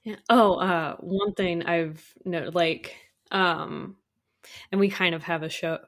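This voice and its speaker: A woman with a fairly low voice talks casually as an interview guest. She is a composer, and her delivery is informal and enthusiastic. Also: steady tone, takes it slowly. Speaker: guest, composer for audio dramas.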